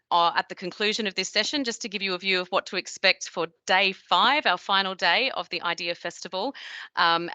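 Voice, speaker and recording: Woman event host, bright forceful tone, dry close sound, video call. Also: fairly low pitch, clear, resonant tone, dry recording. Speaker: festival host. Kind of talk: hosting an online festival session.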